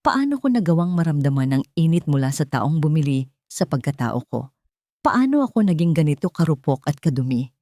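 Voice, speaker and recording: A woman with a fairly low voice reads a dramatic first-person story. Her delivery is solemn and emotive, with deliberate phrasing, and the recording is dry and close. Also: sing-song, dark tone, no room sound. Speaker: story narrator. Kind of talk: dramatic first-person scripted narration of a romance confession story.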